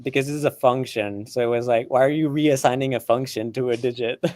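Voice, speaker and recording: A man's voice: mid-range voice, fairly even intonation, a little fast, a little mellow, no echo, clean studio sound. A man whose first language is not English, co-hosting a live coding stream and explaining chattily with frequent restarts and fillers. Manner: chatty, many restarts and fillers, laughs often. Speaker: co-host.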